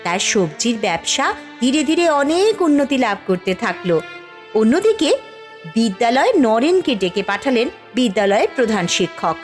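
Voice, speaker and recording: A woman's voice: a little high, big pitch swings, normal speed, soft background music. A woman narrating a cartoon folk story in scripted storytelling style.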